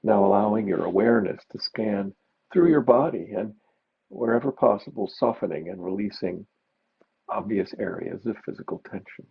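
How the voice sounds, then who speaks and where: A man teaching meditation, giving gentle, unhurried guided mindfulness instructions with pauses. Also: lowish voice, vocal fry, slightly rough. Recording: dull, muffled lo-fi recording.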